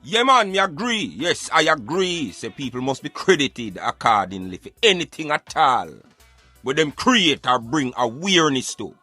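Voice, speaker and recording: A man's voice: a little high, big pitch swings, normal speed, bright, forceful tone, dry recording, faint music behind. A Jamaican man giving emphatic, opinionated social commentary in Patois, like a street philosopher preaching on a radio show.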